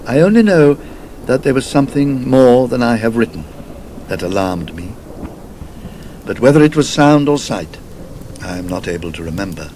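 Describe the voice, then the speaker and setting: A man voicing a haunted English gentleman traveller in an audiobook ghost story, with an RP accent and a halting, emphatic delivery. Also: mid-range voice, big pitch swings, slight background noise, close to an everyday voice. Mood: shaken, fearful. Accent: British Received Pronunciation. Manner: halting, emphatic, fearful confession.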